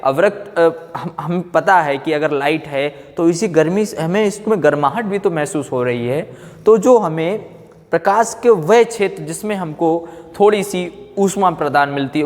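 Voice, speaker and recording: A man teaching a science class, repeating phrases, asking check questions and mixing in English technical terms. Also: a little high. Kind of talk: classroom physics lecture for exam students. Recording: echoey room with long reverb.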